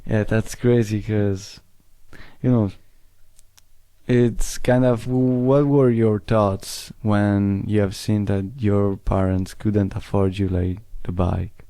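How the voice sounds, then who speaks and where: A young man from Italy hosts a casual interview and often pauses to search for his words. He speaks slowly in a smooth, mellow, somewhat low voice. The sound is dry. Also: fairly even intonation, dry recording. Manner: searching for words, hesitant phrasing.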